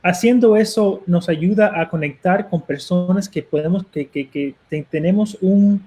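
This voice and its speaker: A Cuban-accented man with a rather high voice, speaking as a pastor on a talk show. He talks earnestly but haltingly, repeating and restarting words as he searches for them. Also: dark tone. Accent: Cuban Spanish. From Cuba. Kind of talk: guest answering on a talk show.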